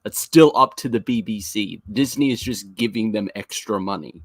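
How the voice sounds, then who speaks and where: A man with a fairly low voice hosts a casual fan stream. He talks in a joking, wry way, and the sound is very dry with no room on it. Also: moderate pace, breathy edge, dry recording. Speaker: host of a weekly fan live stream. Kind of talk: casual chat.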